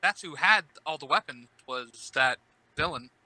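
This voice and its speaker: A man's voice: a little high, animated, unhurried, pushed and bright, dry recording, low-quality recording. A man in casual fan chat on a podcast, informal and often asking questions. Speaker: podcast speaker.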